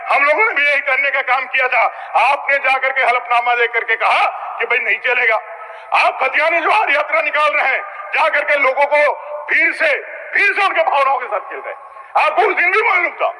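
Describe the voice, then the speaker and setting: A man's voice: extremely high pitch, sharp tone. A man gives a combative, accusatory political speech in an assembly, repeating phrases for emphasis. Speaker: opposition legislator. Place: large indoor legislative chamber. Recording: reverberant hall, public address sound.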